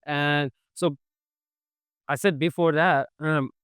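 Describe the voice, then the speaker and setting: A young man with as his hosts a casual interview, his slightly high voice smooth and clear. He talks loosely with frequent "like" fillers. The recording is dry and close. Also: steady tone, slow pace, a bit bright, no room sound. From Iran. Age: 20s. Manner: chatty, non-native phrasing, frequent "like" fillers. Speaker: podcast host.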